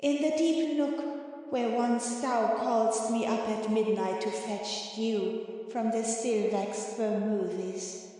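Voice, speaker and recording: A delicate spirit servant, acted by a woman in a dramatic Shakespeare audiobook, drenched in long cavernous reverb. The delivery is very slow and deliberate, in a fairly high voice. The spirit is formal and obedient, yet longs to be free. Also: fairly even intonation, dark tone. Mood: dutiful reporting. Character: Ariel, delicate airy spirit servant, subservient yet yearning for freedom.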